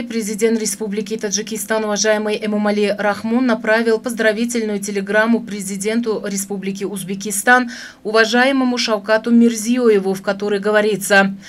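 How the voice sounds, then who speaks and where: A woman reading scripted news as an announcer, in a solemn, measured, official manner. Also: fairly high pitch.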